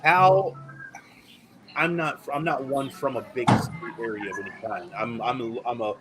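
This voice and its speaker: A man hosting a casual podcast chat, animated and excitable when telling a story. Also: mid-range voice, normal speed, very noisy. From rural area. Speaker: podcast host.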